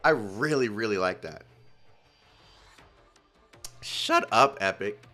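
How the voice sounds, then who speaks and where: A young male streamer reacts to a story game and rambles to his chat. His voice is excitable and animated, swinging up and down in pitch. Faint music runs behind him. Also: slow. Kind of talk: casual livestream commentary.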